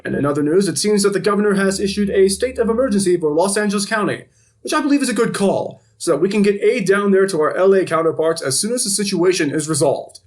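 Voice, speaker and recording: A man's voice: high-pitched, lively intonation, fast, a little mellow. A man playing a flippant TV news anchor in an audio drama, reading reports with wry, editorializing asides. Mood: opinionated, upbeat. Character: flippant male TV evening news anchor covering a zombie outbreak.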